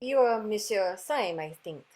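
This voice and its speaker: A woman's voice: mid-range voice, animated, unhurried, a little mellow, close to an everyday voice. A woman playing a proud, hot-tempered French marquis in a radio play, haughty and formal. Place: remote reading over a video call.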